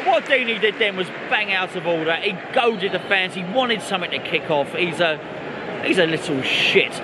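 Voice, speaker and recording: A man's voice: high-pitched, lively intonation, normal speed. A man with an English accent giving chatty, sweary, repetitive match vlog commentary from a football stadium stand. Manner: chatty, repeats phrases, sweary. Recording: loud stadium crowd, noisy outdoor handheld recording. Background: loud match crowd and ambience.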